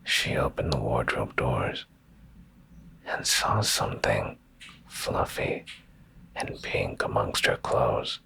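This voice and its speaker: Male ASMR narrator for bedtime stories: heavy vocal fry, breathy whisper, deliberate pauses, expressive pitch movement. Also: rough recording. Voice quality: breathy, gravelly low whisper. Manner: slow, hushed, deliberate delivery with pauses.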